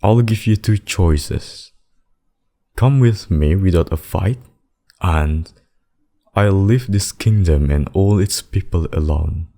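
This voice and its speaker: A man voicing a possessive demon king and lover in a scripted ASMR roleplay, speaking deliberately and intimately. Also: deep voice, vocal fry, warm tone, heavily acted. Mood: menacing, possessive. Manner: slow, deliberate, intimate addresses with pet names. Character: possessive demon king, menacing abductor turned tender secret lover.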